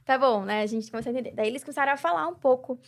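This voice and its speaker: A woman's voice: sits high, racing. A young Brazilian woman, guest and charity president, talking reflectively in a casual interview with many filler tags. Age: young adult.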